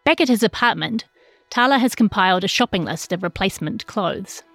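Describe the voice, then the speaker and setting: A woman's voice: mid-range voice, animated, fairly quick, vocal fry, a bit bright, no echo, soft background music. A woman with a New Zealand accent reads a written news feature as a journalist, in scripted narration.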